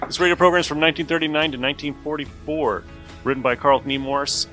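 A man's voice: on the high side, fast, bright, forceful tone. A man introducing a podcast episode in a casual, scripted host style. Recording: voice over faint music. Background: faint music.

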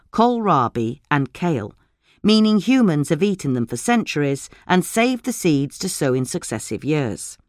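A woman's voice: low-pitched, dry recording, studio quality. A woman reading a scripted article aloud as a narrator.

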